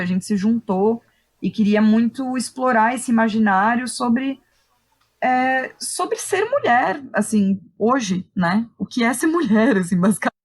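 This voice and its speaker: A woman with a Brazilian accent speaks as an interview guest, a playwright and director thinking aloud and often checking in with short tag questions. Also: mid-range voice. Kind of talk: reflective conversational interview.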